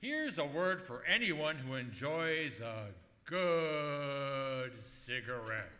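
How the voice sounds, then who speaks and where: Actor as male old-time commercial announcer, salesmanlike; lively, slightly high voice, reverberant live stage. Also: slow, heavily acted. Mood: upbeat, selling. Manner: emphatic, salesmanlike. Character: smooth-selling male radio announcer pitching cigarettes. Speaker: radio announcer.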